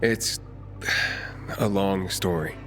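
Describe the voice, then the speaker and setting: An actor playing a guarded, dry-witted young survivor hero in a video game cutscene, speaking in a terse, understated way. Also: male voice, deep voice, takes it slowly, soft background music. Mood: guarded, questioning.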